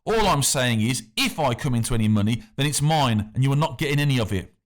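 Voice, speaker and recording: A man's voice: fairly high pitch, big pitch swings, very fast, pitched above the performer's own voice. A man voicing a boy who answers authority bluntly and with hostility. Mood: defiant. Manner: blunt and defiant toward authority.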